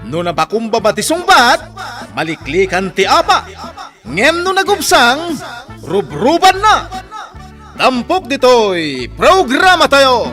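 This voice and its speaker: High, slow male announcer over music. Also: wildly swooping pitch, sharp tone. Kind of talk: scripted radio programme introduction.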